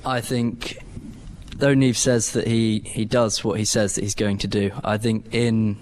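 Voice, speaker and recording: A young man from England, a student guest on radio, building a thoughtful, halting argument. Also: lowish voice, little pitch movement, a little mellow, slight background noise. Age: teens.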